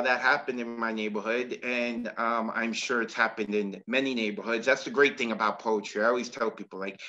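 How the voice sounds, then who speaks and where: A man performing spoken-word poetry over a video call, mid-range in pitch and bright and forceful in tone. His delivery is rhythmic and dramatic, with sudden swings in pitch and loudness. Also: highs cut off. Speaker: performance poet.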